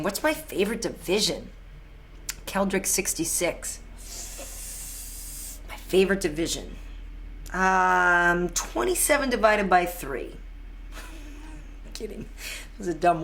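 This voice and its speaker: A woman hosting a live chat, answering fan questions in an enthusiastic, chatty way that is full of restarts and repeated words. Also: lowish voice. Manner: answers viewers' questions on the fly.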